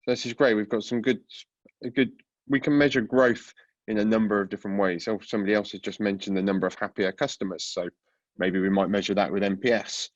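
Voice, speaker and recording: A man with a cold, sounding congested, presenting a product webinar with a relaxed manner and many fillers. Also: fairly low pitch, quick pace, no room sound, lo-fi sound. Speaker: product coach giving a webinar talk. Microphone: video call.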